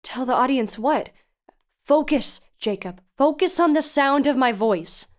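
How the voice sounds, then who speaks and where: Earnest, stern protector for audio drama; higher-pitched, emphatic, urgent delivery. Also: female voice, lively intonation, a little slow. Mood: urgent, reassuring. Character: earnest, no-nonsense woman guiding a reckless youngster.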